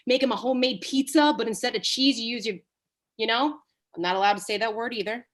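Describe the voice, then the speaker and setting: A woman's voice: a little high, quick pace, a bit bright, close to an everyday voice. A woman reading the part of a crude, cocky male Hollywood agent in a comedy table read, blunt and taunting. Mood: needling, blunt. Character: brash, crude, foul-mouthed male Hollywood talent agent.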